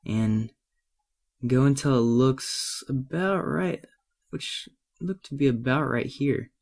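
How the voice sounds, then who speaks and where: A man with a cold and a slightly raspy voice gives a casual, unscripted software tutorial, hesitating and thinking aloud as he works. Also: medium pitch, animated, slow pace. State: has a cold. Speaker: tutorial presenter.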